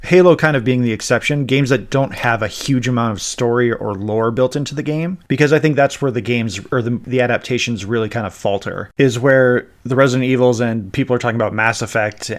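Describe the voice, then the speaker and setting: A man's voice: mid-range voice, a little fast, hardly any pauses. A man hosting a podcast, talking casually and explaining his ideas about pop culture in a conversational way. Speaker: podcast host.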